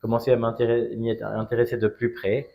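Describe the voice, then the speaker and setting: A man in his forties from Strasbourg, France, telling his story as an interview guest in a measured, hesitant way with frequent pauses. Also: mid-range voice, level tone, gravelly, mellow. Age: 40s. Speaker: interview guest, cigar brand ambassador. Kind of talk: conversational interview, personal story.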